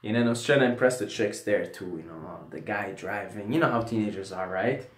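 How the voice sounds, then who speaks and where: A man's voice: fairly low pitch, brisk. Rambling young man in an echoey room. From Syria. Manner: rambling, peppered with "right?" and "you know". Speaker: vlogger. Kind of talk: casual storytelling.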